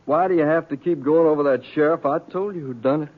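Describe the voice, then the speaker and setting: An actor playing a stubborn, vain Western outlaw with a needling, folksy rustic drawl in a 1950s radio western. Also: male voice, on the high side, fast, narrow, tinny audio, close to an everyday voice. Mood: accusing. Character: sly, stubborn, vain wounded male outlaw. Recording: thin, band-limited old radio recording.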